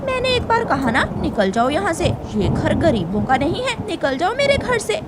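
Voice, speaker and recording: Woman voicing a mean, shrill cartoon crow lady. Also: huge pitch swings, normal speed, heavy background noise, heavily acted. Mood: angry, scolding. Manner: scolding, imperious. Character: mean, selfish female crow turning guests out.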